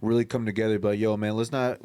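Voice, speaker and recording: A man's voice: on the low side, little pitch movement, fast, warm tone, clean tone, dry recording. A man hosting a casual podcast about music, giving opinions in slangy, informal speech. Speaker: podcast host. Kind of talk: casual group chat, music talk.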